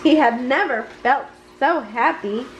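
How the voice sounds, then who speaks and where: A woman reading a children's storybook aloud in an expressive storytelling manner. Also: sits high, a little slow, a little hiss or hum, rough recording. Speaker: story reader. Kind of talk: bedtime storybook read-aloud.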